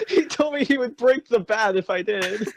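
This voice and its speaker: A man's voice: very high-pitched, sing-song, unhurried, creaky, slight background noise. A man commenting on a badly written story, riffing jokes and laughing often. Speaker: co-reader and commentator. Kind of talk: comedic banter.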